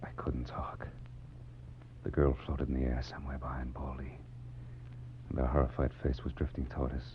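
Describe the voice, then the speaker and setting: A man's voice: rumbling low, completely flat delivery, moderate pace, slight background noise. An actor playing a tough, wisecracking American private detective in a 1940s radio drama, clipped and wry as he acts and narrates. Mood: tense narration. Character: tough wisecracking private detective, sardonic and cool under threat. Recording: muffled vintage 1940s radio recording, music underneath.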